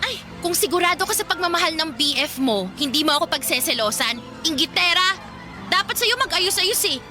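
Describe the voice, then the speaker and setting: An actress playing a vain, feisty teenage schoolgirl in a radio drama, haughty and quick to snap back. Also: very high-pitched, lively intonation. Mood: scornful. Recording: music behind the dialogue.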